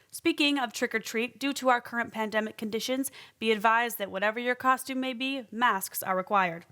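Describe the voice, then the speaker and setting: A woman's voice: mid-range voice, pushed and bright, lightly acted. A woman playing a local public radio announcer, reading scripted items in a tidy, conversational way.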